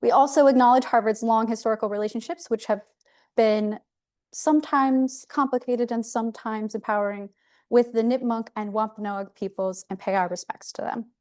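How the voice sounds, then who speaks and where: A woman panelist reading a formal land acknowledgment and introducing herself, in a measured, formal way. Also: fairly high pitch, steady tone, a little mellow. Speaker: panelist, assistant director of a university program.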